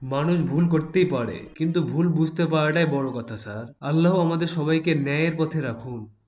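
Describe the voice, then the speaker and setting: Guy playing pious rickshaw dad in a cartoon, lightish voice, calm n earnest, kinda preachy but gentle. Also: a little high, normal speed, close to an everyday voice. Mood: calm, forgiving. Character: pious, patient, hardworking rickshaw-puller father. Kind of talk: Bengali Islamic cartoon dialogue.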